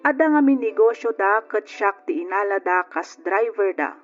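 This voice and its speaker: A woman's voice: mid-range voice, expressive, moderate pace, close to an everyday voice. A woman playing a single woman who tells her life story with an Ilocano accent, as plaintive radio drama narration. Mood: reflective. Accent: Ilocano, from the Philippines. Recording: lo-fi radio recording with music behind. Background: background music.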